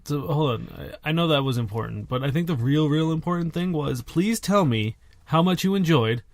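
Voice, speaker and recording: A man's voice: fairly high pitch, expressive, a little fast, no room sound. A man co-hosting a casual podcast, groggy on cold medicine and tossing out scattered quips. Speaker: podcast co-host. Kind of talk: casual banter.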